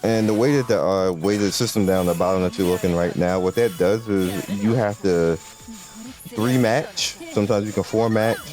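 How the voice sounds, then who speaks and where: A deep-voiced man talks loosely through a game he's playing, often stopping to restart his sentences or fill gaps with "um." His tone is relaxed and conversational, and faint music plays underneath. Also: moderate pace. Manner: rambling, loose, with many fillers and restarts. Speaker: gaming channel host. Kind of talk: casual gameplay commentary.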